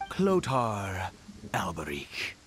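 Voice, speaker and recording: Theatrical, fanatical nobleman, wildly expressive. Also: low voice, full character voice. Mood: elated, sly. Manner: theatrical, slow, swinging between hushed and exultant. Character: grief-stricken, fanatical nobleman father devoted to a dark power. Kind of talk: dramatic video game voice acting. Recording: loud rain and thunder noise behind.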